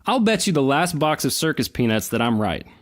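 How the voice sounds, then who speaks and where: Man playing a lively, cocky young adventurer. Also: mid-range voice, brisk, dry recording, studio quality, close to an everyday voice. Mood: cocky, joking. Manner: casual, joking.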